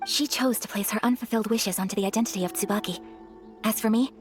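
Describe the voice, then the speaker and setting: A woman playing a refined, earnest young noblewoman in a video game, speaking politely and formally in a gentle, hesitant way. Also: medium pitch, fast talker, close to an everyday voice. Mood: reflective. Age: young adult. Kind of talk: video game character acting. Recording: faint music behind the voice.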